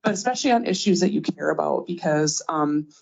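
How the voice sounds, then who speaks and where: A woman's voice: deep voice, expressive, a little fast, vocal fry, darkish tone, muffled recording. A woman advocating for child care on a panel, urging the audience to get involved in an encouraging way. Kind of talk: panel discussion. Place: event room with audience.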